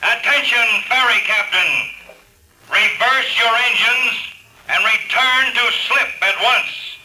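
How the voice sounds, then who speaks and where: An actor playing an authoritative lawman barking deliberate orders through a loudhailer in an old radio crime drama. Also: extremely high pitch, full character voice. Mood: commanding. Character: commanding male lawman leading a police siege. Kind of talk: 1940s-50s radio crime drama acting. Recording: echoey old radio recording.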